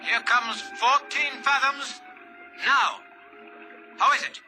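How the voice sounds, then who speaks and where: An earnest Portuguese sailor-diver in a very high, sharp voice. His phrasing is clipped, formal and careful. Music sits under the old radio recording. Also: steady tone, takes it slowly, full character voice. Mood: careful, steady. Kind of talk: 1950s radio drama acting.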